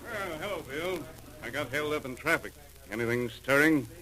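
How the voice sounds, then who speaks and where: An actor playing an affable, plain-spoken police chief in a 1940s radio drama. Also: male voice, on the high side, expressive, normal speed, pushed and bright, poor audio. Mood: expectant.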